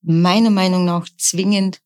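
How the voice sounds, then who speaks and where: Middle-aged woman guest; deliberate, calm explainer with a lower voice. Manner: calm, measured, often slow and deliberate. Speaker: nurse and sound bowl practitioner. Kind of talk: explanatory interview answers.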